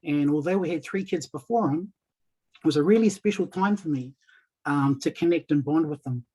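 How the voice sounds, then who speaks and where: A man in his thirties with a New Zealand accent, a community coach giving a warm, soft-spoken webinar talk over a video call. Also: fairly high pitch, normal speed, slightly rough, low-quality recording. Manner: soft-spoken, warm, with Samoan and Māori greetings. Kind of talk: presenting in a webinar.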